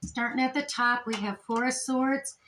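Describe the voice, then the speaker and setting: Slightly high woman with a strained voice. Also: steady tone, moderate pace, over music. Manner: chatty, meandering, with many fillers and restarts. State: losing her voice, throat strained. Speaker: tarot reader. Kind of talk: casual tarot reading to camera. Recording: echoey room with faint noise.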